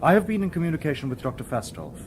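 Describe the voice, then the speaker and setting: A courteous, literal-minded robot detective, pitched a little high with lively intonation. The phrasing is formal and precise in radio drama style, with faint noise behind. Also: male voice. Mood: calm, explanatory. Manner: formal, precise, measured wording. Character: polite, logical humanoid male robot detective, calm and literal.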